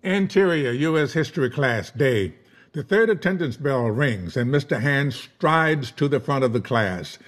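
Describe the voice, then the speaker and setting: Man narrating screenplay stage directions. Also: medium pitch. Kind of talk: scripted narration at a live table read.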